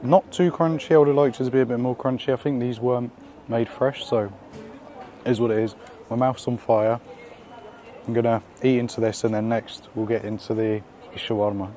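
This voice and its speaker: A man hosting a casual food vlog while out in the city, his voice croaky from being ill, chatty and upbeat with lots of slang and fillers. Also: mid-range voice, steady tone, normal speed, dark tone. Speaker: food vlogger. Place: out and about in city streets and a small eatery.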